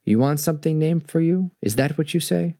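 A man's voice: a little high, a little fast, dark tone, no room sound, clean studio sound, voice pushed up, close to an everyday voice. A man voicing a big, strong storybook tiger who is imperious, sly and condescending. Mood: haughty.

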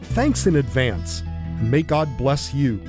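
A man, a priest and radio host, reading a measured, earnest donation appeal for his station. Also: medium pitch, sing-song. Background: guitar music.

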